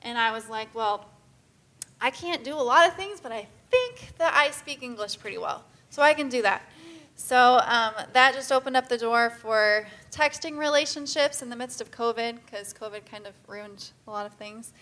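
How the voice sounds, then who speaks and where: A woman's voice: on the high side, moderate pace, bright, forceful tone. A woman speaking casually and earnestly to a church group about mission work, with frequent "um"s. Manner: casual, filler-heavy, earnest. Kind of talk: church presentation talk.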